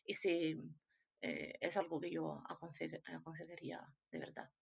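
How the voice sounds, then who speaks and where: A woman's voice: deep voice, fairly even intonation, a little slow, vocal fry, husky, lo-fi sound. A woman from Italy with an Italian accent, a clarinet teacher answering interview questions in Spanish, hesitant with many fillers and restarts.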